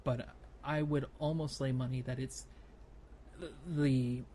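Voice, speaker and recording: A man's voice: mid-range voice, little pitch movement, slow pace, dark tone. Man podcasting over noticeable background noise. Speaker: podcast co-host. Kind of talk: casual podcast discussion of gaming.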